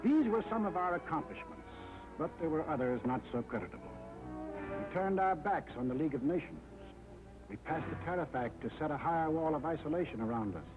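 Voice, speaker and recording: An American man delivers emphatic wartime documentary narration in a 1940s style. His voice sits fairly high and swings expressively from phrase to phrase. The sound is a dull, aged film track with music underneath. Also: sing-song, brisk. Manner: dramatic, emphatic, rhetorical delivery.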